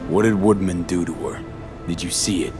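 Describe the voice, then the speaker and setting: An actor playing a steady, plain-spoken mercenary in a video game, with a terse, direct delivery. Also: male voice, low-pitched, normal speed, close to an everyday voice. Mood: concerned, questioning. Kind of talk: cinematic video game acting. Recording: music behind dialogue.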